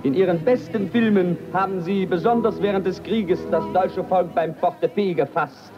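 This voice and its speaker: Man, high voice, declamatory, official speech, dull old recording, music behind. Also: normal speed. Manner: declamatory, formal. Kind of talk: formal 1940s ceremonial speech. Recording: dull 1940s archive recording.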